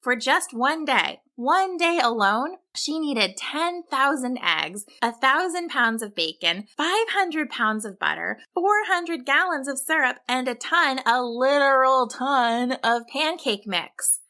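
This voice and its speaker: A woman reading a scripted children's story as a storyteller, with an expressive, deliberate delivery. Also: high-pitched. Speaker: storyteller, podcast host.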